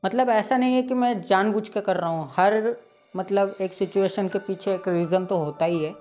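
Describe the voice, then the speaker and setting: A man's voice: high-pitched, moderate pace, darkish tone, faint music behind. A teenage boy with a slightly sore throat, hosting his channel and casually recapping a cartoon episode with lots of fillers. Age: late teens. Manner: chatty, full of "matlab" fillers. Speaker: YouTube channel host.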